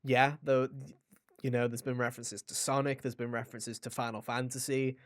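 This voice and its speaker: A man co-hosting a gaming podcast, chatting casually and enthusiastically in an animated, rambling way. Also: medium pitch, brisk, dry recording. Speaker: podcast co-host reviewing a video game.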